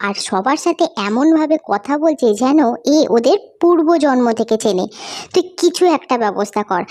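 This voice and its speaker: A woman's voice: sits high, darkish tone. An actress playing a young woman doctor in a cartoon horror story, worried, irritable and dramatic. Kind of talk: acted Bengali cartoon horror story dialogue.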